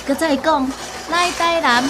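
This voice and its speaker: A woman's voice: high-pitched, a little slow, heavy background noise. A woman with a Taiwanese accent playing a pushy, hospitable local mother in a radio drama. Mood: proud, insistent.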